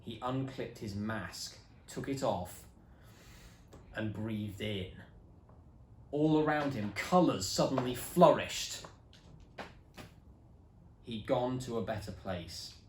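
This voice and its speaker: A young man reads a children's story aloud, dramatic and expressive. His delivery is slow and unhurried, with a voice that rises and falls a great deal. The recording echoes noticeably around the room. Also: on the low side, a little hiss or hum. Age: young adult. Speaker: reader. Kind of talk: storybook reading.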